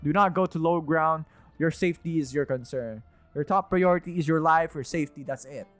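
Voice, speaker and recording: A man with a fairly high voice comments casually on a game he is playing. He rambles and circles back over his points, thinking aloud. Music plays behind him. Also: fairly quick. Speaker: game streamer.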